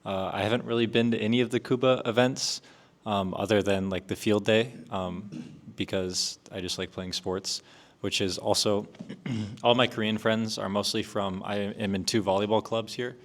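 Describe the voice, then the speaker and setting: A young man speaks in a somewhat low voice on a student panel. His talk is relaxed and full of fillers and restarts. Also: moderate pace. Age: young adult. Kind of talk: casual discussion.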